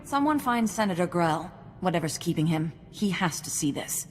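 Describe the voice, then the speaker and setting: An actress playing a brisk woman official in a game cutscene, giving orders decisively. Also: lowish voice, expressive, music in the background, lightly acted. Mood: commanding. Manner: decisive, commanding. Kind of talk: video game cutscene acting.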